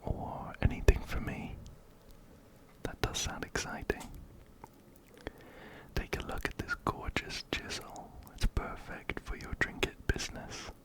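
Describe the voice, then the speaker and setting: A man speaks entirely in a whisper, very close to a binaural microphone. His pacing is very slow and soft, with phrases gently repeated. He sounds like an ASMR creator reading through a game's dialogue aloud. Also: low-quality recording. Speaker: video game streamer and ASMR creator. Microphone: close-up binaural microphone, ear to ear.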